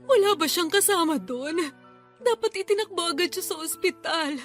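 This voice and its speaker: A woman's voice: extremely high pitch, moderate pace, soft background music. An actress playing a tearful, grieving elderly grandmother in a Filipino-accented Tagalog radio drama. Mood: grief-stricken. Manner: tearful, emotional delivery.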